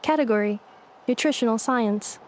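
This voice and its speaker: A woman voicing a polite, literal-minded female robot maid in a video game, formal and deadpan, announcing things procedurally. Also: on the high side, moderate pace, slight background noise, natural voice.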